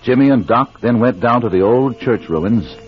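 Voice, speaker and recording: A man's voice: medium pitch, animated, moderate pace, breathy edge. A man announcing and narrating an old radio drama serial in a formal, dramatic style. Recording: dull old radio recording with music.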